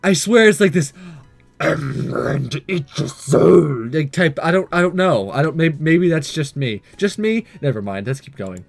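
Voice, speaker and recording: A man commentates playfully over a game with a fairly high, very lively voice. He stammers and drifts into asides. Soft game music plays behind him. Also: moderate pace, hardly any pauses. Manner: animated, stammers and breaks into asides. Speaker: gaming YouTuber. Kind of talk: playful let's-play commentary with dramatic read-aloud.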